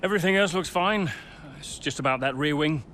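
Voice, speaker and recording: A man's voice: fairly high pitch, a little fast, fairly bright tone, lightly acted. A man playing an earnest, harried racing team colleague in a video game cutscene, firm but placating. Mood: businesslike, wary.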